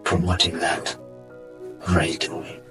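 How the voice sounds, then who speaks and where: A man with a Ugandan accent, answering interview questions earnestly and often trailing off quietly. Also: sits low, monotone, takes it slowly, recorded from a distance, faint music behind. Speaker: guest, asylum seeker.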